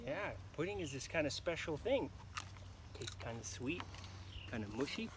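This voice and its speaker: A man telling a folktale live to children in an expressive, dramatic manner, pausing to ask his listeners questions. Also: fairly high pitch. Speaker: storyteller. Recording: noisy live recording, clear background noise.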